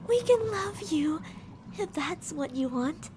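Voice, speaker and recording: A woman's voice: very high-pitched, animated, slow pace, noisy background, exaggerated voice. A woman playing teasing, possessive female mad scientists in an ASMR roleplay, coaxing and domineering with sweet menace. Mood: playful, taunting.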